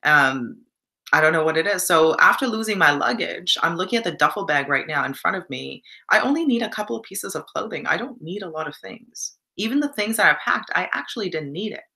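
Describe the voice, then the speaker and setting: A woman's voice: fairly low pitch, lively intonation, fairly quick, pushed and bright. A young Canadian woman in casual live stream chat, reflective and encouraging, reading viewer comments aloud.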